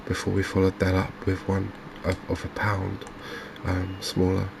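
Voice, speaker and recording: A man giving softly spoken, ASMR-style commentary as host of a gaming channel, in a halting, understated way. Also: sits low, monotone, vocal fry, over music, rough recording.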